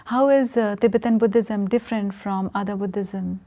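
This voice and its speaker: A woman interviewing a monk, asking questions and murmuring softly in agreement. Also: mid-range voice, steady tone, moderate pace. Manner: soft frequent encouraging murmurs.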